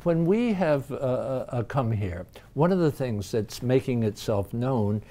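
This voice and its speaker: A man's voice: mid-range voice, lively intonation, unhurried, mellow. An older man hosting, asking curious, conversational interview questions in a hotel lounge. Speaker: host.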